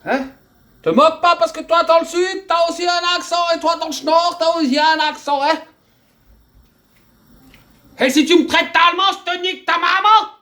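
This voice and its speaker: A woman's voice: very high voice, fairly even intonation, moderate pace, pauses often, pushed and bright. A woman with an Alsatian accent hosting a comedic cooking demonstration, joking and chatting straight to the viewer. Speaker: cooking video host.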